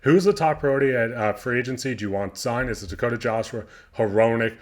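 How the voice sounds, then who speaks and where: A man hosting a hockey news channel, an eager fan going through quotes in loose, unscripted sports commentary, hoarse and losing his voice. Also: medium pitch, fairly quick.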